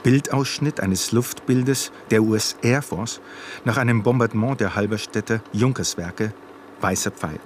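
A man's voice: fairly low pitch, a little slow, a little hiss or hum. A man reading scripted image captions in a clipped, matter-of-fact documentary way.

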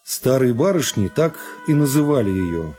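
A man narrating a literary story for a radio drama in a measured, phrase-by-phrase storytelling manner. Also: mid-range voice, big pitch swings, dark tone. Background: music.

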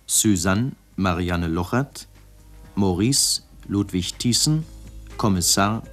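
A male radio announcer with a deep voice reads formally. He is measured and precise, taking his time over each item. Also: animated, a bit bright, soft background music. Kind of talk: formal scripted credits reading.

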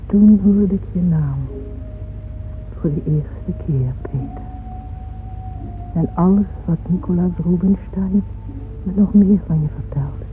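An actress playing a weary old widow who slowly and wistfully muses on the past in a radio drama. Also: female voice, on the low side. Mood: tender, remembering. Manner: slow, musing, reminiscing. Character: weary old widow wistfully remembering long-dead friends. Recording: tinny narrow-band old radio recording, music behind.

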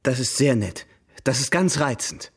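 Husky, cheerful young charmer. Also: male voice, medium pitch, animated, a little slow, fairly bright tone, full character voice. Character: cheerful, charming young bridegroom, lightly teasing. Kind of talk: German radio drama acting.

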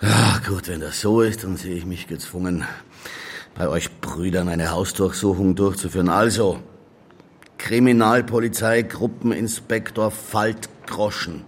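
Tiefer, zynischer österreichischer Kommissar. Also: male voice, deep voice, unhurried, a little hiss or hum. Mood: curt, insistent. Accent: Austrian. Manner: sardonic, coarse, muttering asides. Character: gruff, cynical, hard-drinking male police detective. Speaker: actor in a radio crime drama. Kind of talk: German-language radio play dialogue and inner monologue.

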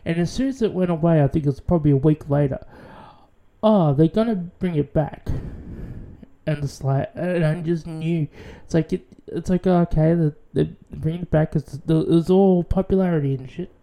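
A man with an Australian accent, rambling through a casual radio monologue, swearing freely and restarting his sentences. His voice is very dark and mellow in tone. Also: a little high, a little fast, gravelly. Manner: rambling, profane, many restarts. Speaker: radio show host.

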